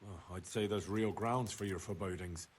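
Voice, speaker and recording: A man's voice: deep voice, moderate pace, slightly rough. An actor playing a dutiful, inquisitive young medieval man-at-arms in a video game, plain-spoken and deferential. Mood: earnest, curious. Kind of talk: scripted video game dialogue. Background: music.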